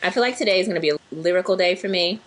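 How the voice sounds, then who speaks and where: Playful low-voiced woman podcast host. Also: rapid-fire. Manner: playful, breaks into song and put-on voices. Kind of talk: casual chat.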